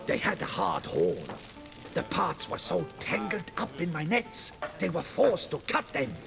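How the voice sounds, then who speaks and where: A man's voice: high-pitched, animated, a little slow, vocal fry, music in the background, exaggerated voice. An actor playing a long-winded, superstitious rustic fisherman in a fantasy game, telling things in a rambling, dramatic way. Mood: dramatic, spooked. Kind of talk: fantasy video game dialogue.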